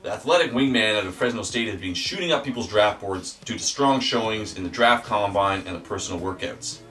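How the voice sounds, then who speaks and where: A man giving a wry, deadpan sports commentary, auditioning as a sportscaster. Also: lowish voice, a little fast, far from the mic, faint music behind, poor audio. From Canada. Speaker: aspiring sportscaster.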